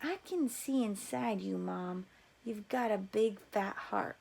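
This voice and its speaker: A woman's voice: medium pitch, slow pace, vocal fry, a little mellow, full character voice. A woman voicing a tough teenage camp boy in a storybook reading, curt, slangy and defiant. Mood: cocky.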